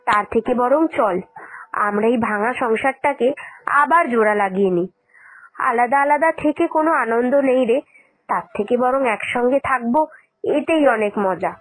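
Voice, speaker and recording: A woman's voice: on the high side, moderate pace, close to an everyday voice, rough recording. A woman voicing an elder daughter-in-law in a cartoon, earnest and emotive in manner. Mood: warm resolve. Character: elder daughter-in-law, earnest, sharp-tongued but soft-hearted. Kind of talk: Bengali cartoon dialogue acting.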